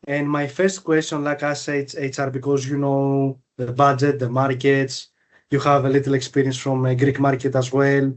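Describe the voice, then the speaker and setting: A man hosting an interview, asking long, loose questions full of fillers. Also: mid-range voice. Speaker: podcast host, recruiter. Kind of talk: interview questions, casual chat.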